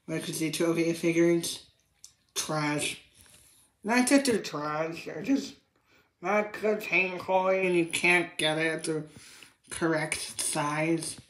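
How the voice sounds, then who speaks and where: A woman chatting casually and unscripted about toys, in a halting, repetitive way that trails off. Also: deep voice, fairly bright tone. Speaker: toy reviewer. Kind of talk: casual unscripted toy review chat. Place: indoor room with hard tiled floor. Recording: echoey room, background noise, clicks.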